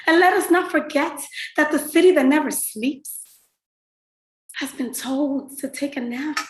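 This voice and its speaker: A woman's voice: high voice, measured pace. An actress performing a rhymed spoken-word monologue with dramatic, pleading delivery, a woman in a roomy, echoing recording.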